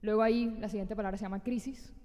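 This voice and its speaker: A woman with a Barranquilla Colombian accent introducing herself in a relaxed, chatty way. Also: medium pitch, fast talker, big-room sound. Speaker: panelist. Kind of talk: short personal introduction on a panel.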